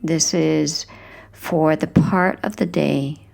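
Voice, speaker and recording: A woman guiding a sleep hypnosis in a gentle, hushed, soothing scripted narration, unhurried, with phrases that trail off softly. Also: sits low, fairly even intonation, creaky.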